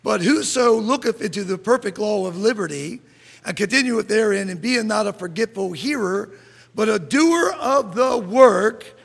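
A middle-aged man preaching a sermon in a church, reading scripture and rising into fervent shouts. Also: high-pitched, expressive, moderate pace, creaky, slightly rough. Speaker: pastor. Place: church sanctuary. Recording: long church hall reverb.

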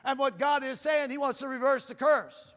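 A male preacher giving a loud sermon, asking the crowd "Amen?" again and again, repeating and stumbling over words as he builds to shouting, heard in a church with long reverb and faint music behind. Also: very high-pitched, steady tone, normal speed.

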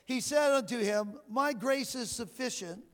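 A man preaching in a very high, piercing voice, stumbling and repeating himself as he pushes toward shouting. He keeps asking "Amen?" between lines. His voice rings in a long church reverb over faint music. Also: unhurried, creaky. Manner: loud, says he shouts and builds to shouting. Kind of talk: sermon.

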